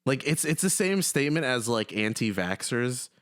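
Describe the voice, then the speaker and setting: A man's voice: medium pitch, very animated, moderate pace, a bit bright, no room sound, clean studio sound. A young man co-hosting a podcast, chatting casually, teasing his friend and laughing often as he acts out quotes. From Syria. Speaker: podcast co-host. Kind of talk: casual chat.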